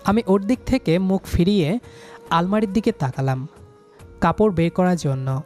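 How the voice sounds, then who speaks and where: A man's voice: high voice, moderate pace, a little mellow, soft background music. A young man narrating a scripted first-person love story in a dramatic, sentimental manner.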